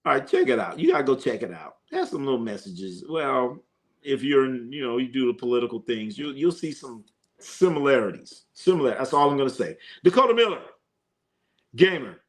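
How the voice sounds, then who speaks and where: A man's voice: mid-range voice, very animated, fairly quick. A man hosting a live sports stream, chatting loosely with viewers and a co-host, joking and using slang with lots of fillers. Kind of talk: casual live stream chat reading comments.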